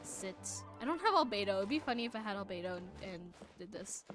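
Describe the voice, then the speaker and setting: A woman livestreaming a game with casual commentary, muttering asides and haltingly reading text aloud, correcting herself as she goes. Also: medium pitch, lively intonation. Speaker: live game streamer. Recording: music playing behind the voice. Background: game music.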